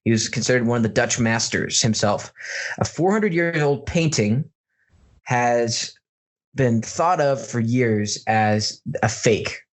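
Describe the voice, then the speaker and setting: A man's voice: medium pitch, slow pace. An American man with a mid-range voice hosts a casual podcast. He tells news stories informally and with animation, in the easy style of comedic banter between friends. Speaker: podcast host.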